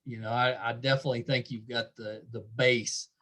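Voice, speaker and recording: A man's voice: medium pitch, little pitch movement, a little fast, a bit bright. A middle-aged man hosting a casual interview, talking in an encouraging, complimentary way. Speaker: podcast host interviewing a band.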